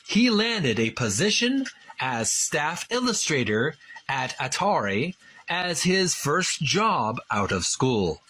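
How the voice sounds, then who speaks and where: A man hosting a video with a bright, forceful voice that rises and falls a lot. He speaks in deliberate, emphatic chunks, breaking up his phrases as he presents and reads aloud. Music plays faintly behind him. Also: a little high, husky, low-quality recording. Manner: deliberate, emphatic, broken phrasing when reading. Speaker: host of a video series on retro game art. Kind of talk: casual presenting and slow, halting read-aloud from a book.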